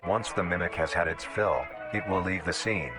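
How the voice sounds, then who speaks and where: A man reading scripted documentary-style narration in a flat, clinical way. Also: sits low, moderate pace. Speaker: narrator of a horror video. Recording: narration played over music.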